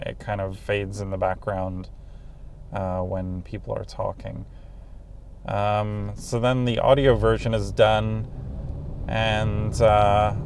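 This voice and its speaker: A man with a bassy voice talking casually about his podcast on a lapel mic, halting with many ums. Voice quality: bassy deep voice. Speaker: podcast host. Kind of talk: casual solo talk. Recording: loud background noise.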